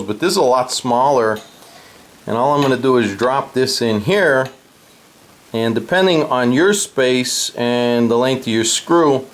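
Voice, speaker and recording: A man narrating a casual do-it-yourself tutorial in a plainspoken, informal way, explaining things step by step. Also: medium pitch. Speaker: host of a DIY guitar how-to video.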